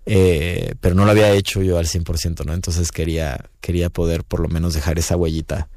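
A man's voice: sits low, fairly quick, dry recording, clean studio sound. A man with a Mexican accent, a guest musician chatting casually in a radio booth, rambling with many fillers. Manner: relaxed, rambling, frequent fillers and "¿no?". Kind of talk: casual interview talk.

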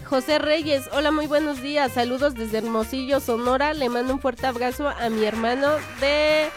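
A woman's voice: sits high, normal speed, music in the background. A Mexican woman co-hosting a radio show, reading out listeners' greetings in a chatty, easygoing way. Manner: chatty, reads out greetings with light laughs. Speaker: radio co-host.

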